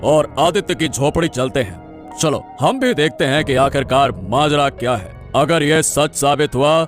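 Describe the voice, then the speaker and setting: A man's voice: on the high side, measured pace, soft background music, natural voice. A man voicing an authoritative, questioning king in a cartoon story. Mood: questioning, commanding. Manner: commanding, questioning. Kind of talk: Hindi cartoon story voice acting.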